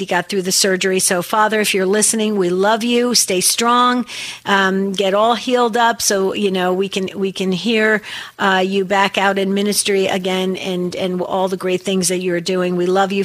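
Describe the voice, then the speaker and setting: Earnest woman radio host, slightly raspy. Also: mid-range voice, dry recording. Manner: earnest and warm-hearted, quick with fillers and restarts. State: slightly raspy voice from a long day on air. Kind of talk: live call-in radio prayer show. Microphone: studio microphone.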